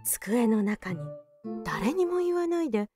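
A woman narrating a children's storybook tale in an animated read-aloud manner. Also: a little high, unhurried. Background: children's background music.

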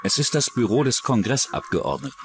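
A man's voice: medium pitch, very animated, brisk, faint music behind. A man reading scripted true-crime narration as a documentary narrator.

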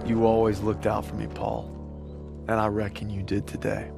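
An actor playing a determined, haunted young American soldier in a war game. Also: male voice, fairly low pitch, completely flat delivery, moderate pace, vocal fry. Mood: reflective. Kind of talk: cinematic war game acting and narration. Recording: dramatic music behind the voice.

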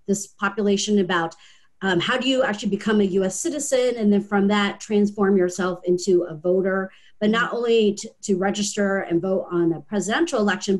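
Woman in her 40s on a video call from home, earnest panel guest, lots of ums, mid pitch. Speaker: panel guest, nonprofit director.

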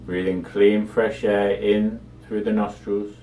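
Deep, slow-voiced man guiding meditation. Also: flat delivery, warm tone, faint music behind. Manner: measured, soothing delivery with long pauses. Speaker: meditation guide. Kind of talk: guided meditation, slow spoken instruction. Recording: echoey, distant from the microphone.

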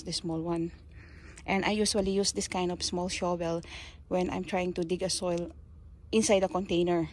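A Filipino woman whose first language is Kapampangan talks slowly and haltingly into a handheld phone, pointing out things in her backyard garden. She often pauses to find the right English word. Faint outdoor ambience sits behind her mid-pitched voice. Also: slight background noise. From Philippines. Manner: slow, halting, searches for words. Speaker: gardening vlogger. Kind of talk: casual show-and-tell. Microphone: handheld phone, speaker off camera.

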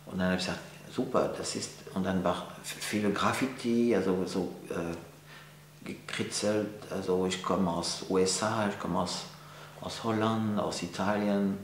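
A man's voice: sits low, steady tone, unhurried. A man in his forties from France, speaking German with a French accent, answering interview questions in a gallery with many fillers and restarts. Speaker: interviewee, painter. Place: art gallery, indoors. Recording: echoey room, faint hum.

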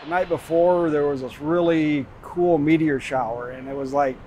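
A man's voice: on the high side, steady tone, normal speed, warm tone, highs cut off, a little hiss or hum. A man telling a personal story casually in an interview. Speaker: contest winner. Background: light music.

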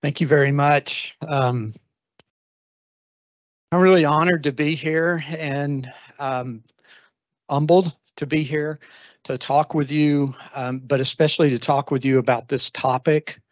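A man's voice: fairly high pitch, steady tone, measured pace, no room sound, dull audio. A middle-aged man giving an earnest, thoughtful lecture, with frequent ums and restarts. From Texas, USA. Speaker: guest lecturer. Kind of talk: informal lecture. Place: library meeting room. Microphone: handheld microphone.

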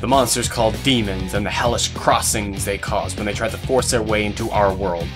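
A man's voice: lowish voice, brisk. A man narrating a scripted fantasy story as an audio drama narrator. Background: guitar music.

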